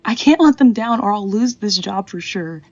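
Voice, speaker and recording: A woman's voice: medium pitch, animated, normal speed, darkish tone, lo-fi sound. A woman playing an anxious, earnest, pleading department store employee in a comedic audio drama.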